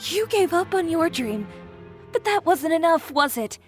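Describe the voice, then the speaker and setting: An actress playing a proud, self-assured young fashion designer in a video game, crisp and cutting. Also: female voice, very high voice, big pitch swings, a little slow, soft background music, full character voice. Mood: disappointed, cutting.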